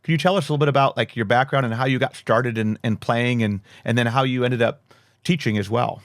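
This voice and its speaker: A man's voice: mid-range voice, steady tone, fairly quick, no room sound, clean studio sound. A man hosting a podcast interview, enthusiastic and hesitant, with many fillers. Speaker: podcast host. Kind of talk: casual interview chat.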